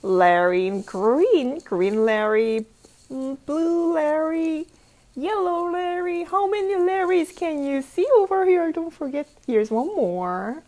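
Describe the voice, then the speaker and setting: A woman with a high voice reads a picture book aloud as a teacher of young children. Her delivery is slow and swings widely in pitch. She is playful, asks the listeners questions and repeats phrases for them. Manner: animated, playful, with exclamations and sound effects.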